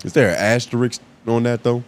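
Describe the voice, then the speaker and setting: Male co-host, American, mid-pitched, very quick delivery, blunt and challenging in loose podcast banter. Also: steady tone, slight background noise. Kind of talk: casual podcast chat.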